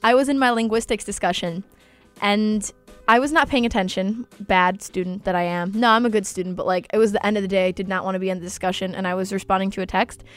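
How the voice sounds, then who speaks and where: A young woman whose first language is Polish, hosting a casual college radio talk show with a bubbly, chatty and animated way of telling stories. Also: medium pitch, quick pace, soft background music. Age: young adult. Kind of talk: casual chat.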